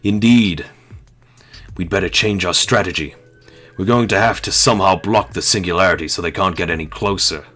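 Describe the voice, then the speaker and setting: A man voicing a menacing, commanding video game villain in a grandiose, deliberate manner. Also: deep voice, fairly bright tone, faint music behind. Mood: calculating.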